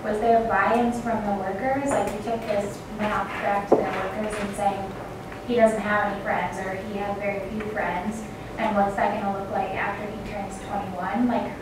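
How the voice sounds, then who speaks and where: A woman's voice: medium pitch, little pitch movement, normal speed. Very echoey woman questioning from the audience. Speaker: audience member asking a question. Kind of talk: conversational question. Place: lecture room, indoors. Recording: very echoey, distant, noisy room.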